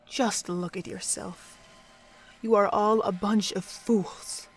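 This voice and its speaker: A woman acts out a cold female pony overseer in a radio play. She speaks with deliberate, theatrical contempt, in a mid-pitched voice. Her scorn swells into intensity and settles back into measured menace. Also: fairly even intonation, slightly rough, faint music behind. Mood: scornful, intense. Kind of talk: dramatic audio drama acting.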